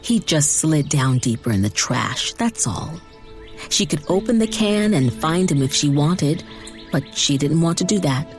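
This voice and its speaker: A woman reading dramatized fiction narration in a measured way with deliberate emphasis. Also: sits low, animated, darkish tone, soft background music. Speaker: audiobook narrator.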